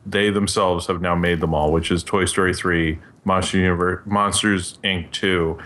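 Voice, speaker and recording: Deep-voiced man chatting hesitantly. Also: fairly even intonation, normal speed, a bit bright. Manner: hesitant, trails off mid-thought. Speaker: podcast panelist. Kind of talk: casual panel chat about films.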